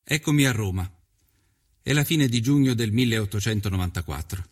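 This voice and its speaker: An actor playing an earnest Italian journalist of the 1890s, narrating his inquiry in a measured, literary first person. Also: on the low side, lively intonation. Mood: reflective, somber.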